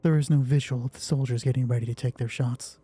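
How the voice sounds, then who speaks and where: Husky, mellow man running a tabletop game. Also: mid-range voice, fairly even intonation, quick pace. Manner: informal, swearing, thinking aloud. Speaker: solo roleplay game master and narrator. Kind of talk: narrating a tabletop game, dramatic storytelling mixed with casual rules talk.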